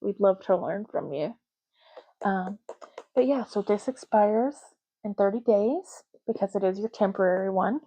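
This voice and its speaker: A woman speaking softly and unhurriedly in an ASMR roleplay, playing a chatty, matter-of-fact official in short murmured phrases. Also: medium pitch, unhurried, muffled recording. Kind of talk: soft-spoken ASMR roleplay.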